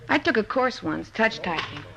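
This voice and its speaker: An actress playing a blunt, slangy, plain-spoken American coffee-shop worker in a 1950s radio drama. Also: female voice, fairly high pitch, big pitch swings, normal speed, creaky. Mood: offhand, busy. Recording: noisy old radio, typewriter clicking behind.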